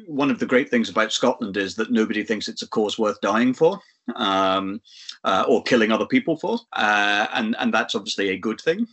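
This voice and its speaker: A man giving a measured, analytical answer, pausing often with fillers and restarts. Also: lowish voice, sharp tone. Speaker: guest expert. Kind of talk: analytical discussion.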